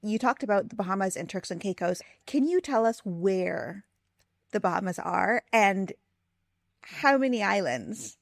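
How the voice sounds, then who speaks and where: A woman's voice: mid-range voice, lively intonation, a little slow, creaky, dry recording, studio quality. A woman hosting a podcast and interviewing a guest in a conversational style.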